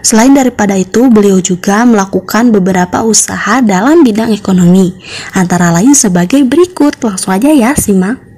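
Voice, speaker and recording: A woman's voice: medium pitch, brisk, dark tone. Young lady teacher reading out a lesson step by step, bit of husk in the voice. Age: young adult. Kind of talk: scripted lesson narration for schoolchildren.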